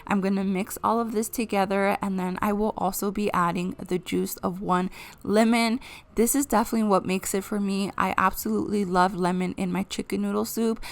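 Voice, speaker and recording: A woman narrates a cozy cooking vlog as she talks viewers through each step. Her voice is hoarse from a cold and has a gravelly edge. Her pitch sits in a middle range. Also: steady tone, fairly quick. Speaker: vlogger. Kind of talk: casual cooking vlog voice-over.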